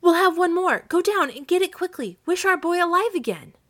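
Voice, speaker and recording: A woman's voice: very high voice, animated, a little fast, fairly bright tone, voice pushed up. A woman voicing a frantic, grieving old wife, crying out urgently in a dramatic story reading. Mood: triumphant. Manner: wild, urgent, hysterical crying out.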